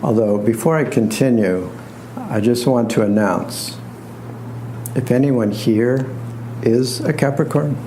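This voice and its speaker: Male lecturer, soft voice, very unhurried and halting delivery, dry self-deprecating wit, live recording with faint background noise. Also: medium pitch, rough recording. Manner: unhurried, halting with pauses and repeats; wry, self-deprecating humor. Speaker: astrologer and tarot reader giving a lecture. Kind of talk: informal spoken lecture to a live group.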